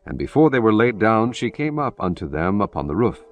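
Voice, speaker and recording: A man narrating scripture in a solemn, measured way. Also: fairly low pitch, sing-song, lo-fi sound, faint music behind.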